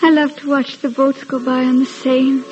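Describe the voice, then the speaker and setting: Actress as a high-voiced polite housemaid, old radio. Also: female voice, little pitch movement, normal speed, a little mellow, a little hiss or hum, lightly acted. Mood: polite, cheerful. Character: polite young housemaid in a French country house. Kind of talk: 1940s radio drama acting. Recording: dull old radio recording, music behind.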